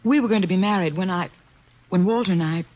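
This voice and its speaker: An actress playing an anxious secretary under suspicion in an old radio drama, speaking in halting, breathless bursts. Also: female voice, lowish voice, muffled recording, natural voice. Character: anxious female secretary, worried suspect. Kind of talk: 1940s radio acting.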